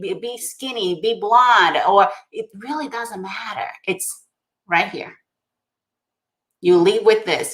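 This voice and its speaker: A woman's voice: medium pitch, a bit bright. Brazilian-accented woman telling her story. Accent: Brazilian Portuguese. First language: Portuguese. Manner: earnest, often asks "right?", repeats phrases for emphasis. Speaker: guest author. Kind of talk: personal story on a talk show.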